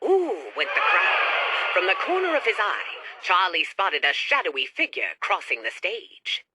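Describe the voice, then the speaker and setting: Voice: medium pitch, sing-song, unhurried, background noise. A narrator telling a radio drama story in a dramatic, storybook manner.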